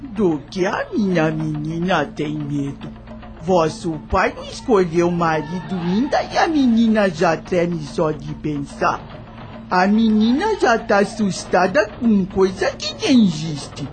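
Slow-spoken old Brazilian matriarch. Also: female voice, lowish voice, sing-song, music in the background. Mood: concerned, motherly. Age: older. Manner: slow, folksy rustic speech, motherly. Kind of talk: radio drama acting.

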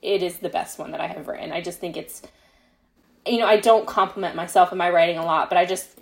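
A woman's voice: lowish voice, steady tone, fast, gravelly. A woman speaking as a guest author in a casual interview, explaining her writing in a reflective, conversational way. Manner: reflective, explains at length with frequent "you know" and "like".

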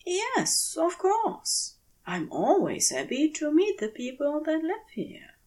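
A woman voicing a courteous, earnest gentleman who sounds hesitant and slightly flustered, in a story reading. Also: high voice, wildly swooping pitch, slow, pitched above the performer's own voice, exaggerated voice. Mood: polite, flustered.

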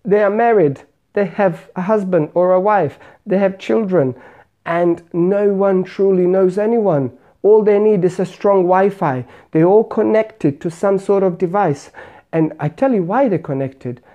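A middle-aged man delivers a motivational podcast talk. His voice is mellow and warm, and he works through long, earnest lists that circle back on the same point. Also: high voice, measured pace, muffled recording. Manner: earnest, repetitive listing, preachy. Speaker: podcast host and mindset coach. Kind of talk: motivational monologue.

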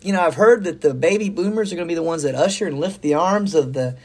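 A hoarse middle-aged man with a slightly high-set voice, chatting as a podcast pastor. He rambles in a folksy, upbeat way, with a worn, scratchy throat. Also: quick pace, a little mellow. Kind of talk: casual faith talk.

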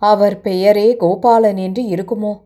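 A woman's voice: medium pitch, steady tone, unhurried, dark tone, close to an everyday voice. A woman voicing a distraught, remorseful young woman who speaks in a sorrowful, pleading way.

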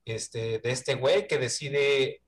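A man's voice: mid-range voice, takes it slowly. A man with a Mexican accent in a casual film discussion, hesitant, searching for words and often seeking agreement.

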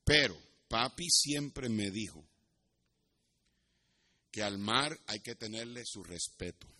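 Bright-voiced Puerto Rican pastor preaching. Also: male voice, lowish voice, animated, takes it slowly. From Puerto Rico. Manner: didactic, switches into English asides. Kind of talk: sermon with Bible teaching and personal anecdotes.

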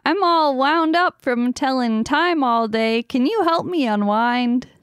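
A woman doing a high chiming clock lady. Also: slow, clear, resonant tone, no echo, studio quality. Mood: chirpy. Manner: high, sing-song, chiming. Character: chirpy female wind-up clock, tired.

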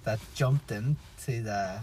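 A man's voice: lowish voice, slow, a little mellow, slight background noise, rough recording. A man co-hosting a casual podcast, teasing his friend and laughing often. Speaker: podcast co-host.

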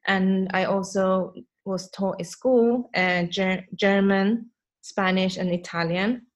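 A woman's voice: mid-range voice, steady tone, slow pace. A woman from Sweden whose first language is Hakka, a lash artist speaking as a podcast guest, talking freely with many fillers and non-native grammar. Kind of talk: podcast interview.

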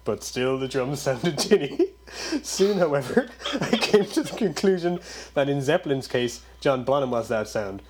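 A man's voice: mid-range voice, very animated, unhurried, hardly any pauses, crowd noise. A man in casual chat who laughs often, reads a quote aloud, and makes blunt remarks.

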